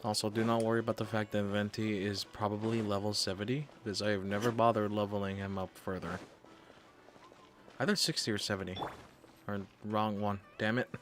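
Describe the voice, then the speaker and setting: A man's voice: fairly low pitch, level tone, fairly quick, a bit bright, slight background noise. A man giving casual live game commentary as a streamer, muttering reactions and repeating his phrases.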